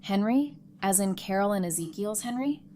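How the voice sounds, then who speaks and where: A woman's voice: mid-range voice, lively intonation, normal speed, dark tone, lightly acted. Upbeat teasing young mom-to-be, acted by a woman, faint hiss. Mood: surprised. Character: upbeat teasing expectant mother, warm loyal best friend. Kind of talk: audio drama dialogue.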